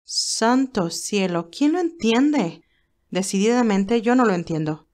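A woman's voice: medium pitch, lively intonation, slow pace, a little mellow. A woman voicing a haughty, scornful rich young woman, annoyed and exasperated, in an audio story.

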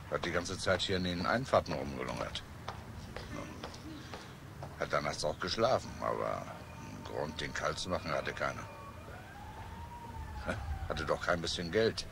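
An actor playing a drawling bystander in a German radio play. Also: male voice, very deep voice, creaky, noisy background, heavily acted. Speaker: bystander witness.

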